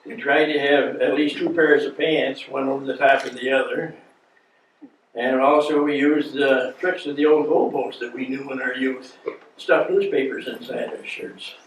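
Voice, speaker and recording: An American man in his nineties, a war veteran, reminiscing informally to an audience in a halting, plain-spoken way. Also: medium pitch. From United States. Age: 90s. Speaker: war veteran, guest speaker. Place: indoor meeting room before an audience. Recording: echoey room, distant mic, faint noise.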